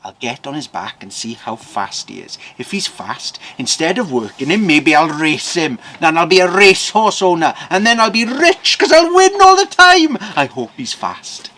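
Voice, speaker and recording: A man voicing an excitable, boastful, easily frightened Welsh farmer who exclaims and rambles to himself in wide-eyed wonder. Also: mid-range voice, normal speed, few pauses, a little hiss or hum, close to an everyday voice. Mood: excited, scheming.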